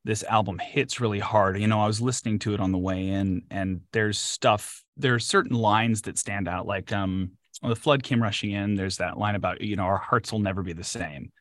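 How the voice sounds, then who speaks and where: A man's voice: on the low side, normal speed, few pauses, a bit bright, no room sound. A man hosting an interview, asking long, thoughtful questions that ramble a little. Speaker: interview host. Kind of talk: casual interview talk. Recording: dry close sound.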